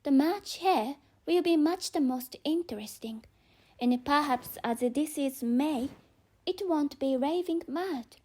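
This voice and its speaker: Woman as a curious polite little girl in a storybook, high voice, slow n earnest, non-native english accent. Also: a little mellow. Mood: musing. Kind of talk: audiobook character reading.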